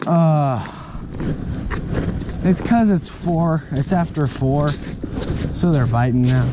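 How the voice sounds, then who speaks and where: A young man vlogging while ice fishing outdoors on a frozen lake, talking casually and breaking into loud excited exclamations. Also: on the high side, slow. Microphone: head- or chest-mounted action camera. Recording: wind and outdoor noise on the camera mic.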